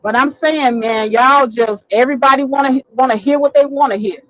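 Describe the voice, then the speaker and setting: A woman hosting a casual live stream from her car, talking bluntly and swearing freely, getting a little hoarse. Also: high-pitched, a little fast, no echo, dull audio. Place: in a vehicle, driving.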